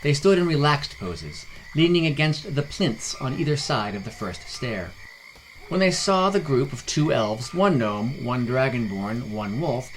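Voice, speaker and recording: A man's voice: medium pitch, a little hiss or hum, poor audio. A man narrating a scripted fantasy story as a podcast narrator, reading expressively. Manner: reads character dialogue expressively.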